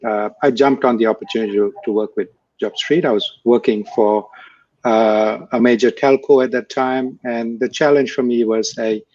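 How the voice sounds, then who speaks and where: A man from Malaysia, a guest answering interview questions in a measured, hesitant way with many fillers. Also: mid-range voice, darkish tone, no room sound, lo-fi sound. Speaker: guest, former startup executive.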